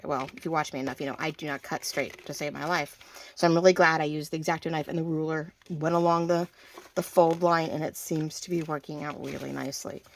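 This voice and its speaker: A woman hosting a casual crafting tutorial, chatty and thinking aloud as she works, slightly hoarse and often clearing her throat. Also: low voice, slight background noise. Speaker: craft video host.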